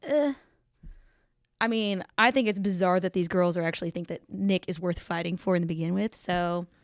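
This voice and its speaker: A woman with noticeable vocal fry and a dry, close sound guests on a podcast. She chats casually about reality television at a medium pitch. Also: normal speed, no echo. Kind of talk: casual reality-show chat.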